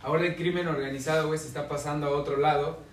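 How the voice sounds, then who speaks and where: A man's voice: a little high, fairly even intonation, rapid-fire, far from the mic. A man with a Mexican accent in casual chat, telling stories with plenty of slang and swearing. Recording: roomy, from off mic, faint noise.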